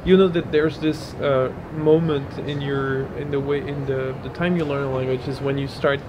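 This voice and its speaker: A man's voice: medium pitch, steady tone, normal speed. A man whose first language is French, talking animatedly in an interview and often repeating his agreement. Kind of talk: casual interview answer. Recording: noisy outdoor recording with traffic.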